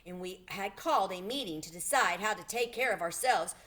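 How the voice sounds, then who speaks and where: A woman's voice: mid-range voice, fairly quick, fairly bright tone, natural voice. A big storybook tiger, read by a woman, speaking for threatened animals. His manner is earnest and formal, with great respect.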